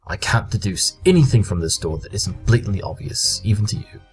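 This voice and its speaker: An actor playing a brilliant, formal pony detective who speaks precisely and matter-of-factly in an audio drama. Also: male voice, fairly low pitch, lively intonation, a little fast, soft background music, close to an everyday voice. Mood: focused, clipped.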